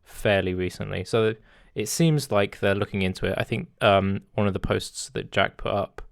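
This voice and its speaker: A man's voice: lowish voice, steady tone, normal speed, creaky, no room sound, studio quality. A man in his twenties hosting a podcast in a casual, rambling chat with many fillers and laughs. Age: 20s. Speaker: podcast host.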